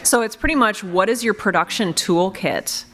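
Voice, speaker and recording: A woman's voice: medium pitch, lively intonation, moderate pace, fairly bright tone. A woman moderating a live panel in a casual, conversational style. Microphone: wired panel microphone.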